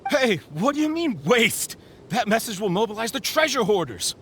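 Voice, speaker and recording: A man's voice: high-pitched, very animated, moderate pace, fairly bright tone, slight background noise, heavily acted. A man voicing a jittery, blustering bandit with a stammer, proud of his gang, in a video game cutscene. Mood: indignant.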